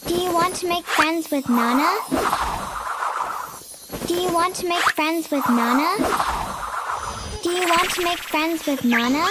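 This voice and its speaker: A woman's voice: extremely high pitch, measured pace, long pauses, very noisy. A woman voicing a cute, playful little catlike girl in a video game, with a sweet, childlike, pleading manner. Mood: sweet, inviting. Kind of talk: video game character voice lines. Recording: loud game music behind the voice.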